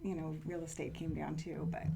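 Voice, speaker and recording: A woman's voice: sits low, flat delivery, fairly quick, creaky, warm tone. A woman in her forties from California, a real estate agent answering interview questions in a matter-of-fact way and laughing easily.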